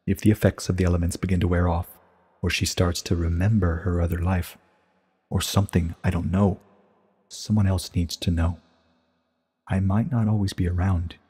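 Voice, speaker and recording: An ancient pony princess, acted by a man, low and very husky. She speaks with solemn, weary regret in a measured storybook confession, soaked in long reverb. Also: little pitch movement, warm tone. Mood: solemn, resigned. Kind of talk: dramatic storybook reading.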